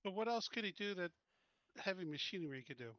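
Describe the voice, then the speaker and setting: A man's voice: sits high, animated, fast talker, a bit bright, no echo, muffled recording. A man playing a tabletop game, chatting casually and bluntly with the group.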